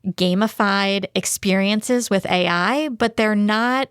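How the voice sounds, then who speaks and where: A woman's voice: medium pitch, slow pace, fairly bright tone, smooth, no room sound. Woman co-hosting a podcast, dry studio sound. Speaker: podcast co-host. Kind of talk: conversational podcast talk, summarizing articles.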